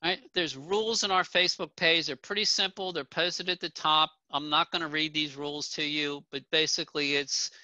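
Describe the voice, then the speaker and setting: A man's voice: fairly high pitch, fairly even intonation, a little fast, few pauses, bright, forceful tone. A middle-aged man presenting slides at an online association town hall, plain-spoken with frequent ums and restarts. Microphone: video call webcam. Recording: dull, dry video call audio.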